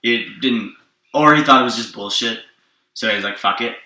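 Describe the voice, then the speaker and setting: A man's voice: mid-range voice, moderate pace, pushed and bright. A man co-hosting a comics show in casual, unscripted chat, explaining things in a rambling, informal way. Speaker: co-host of a comics story show. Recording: roomy echo, distant from the mic.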